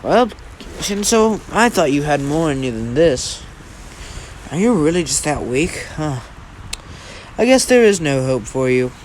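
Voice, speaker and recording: A man's voice: fairly high pitch, sing-song, darkish tone, slight background noise. A man voicing a smug, condescending teenage hero student in an anime-style story, mocking his rival. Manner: mocking, condescending, drawn-out taunts. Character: smug, taunting teenage superhero student with evil smile.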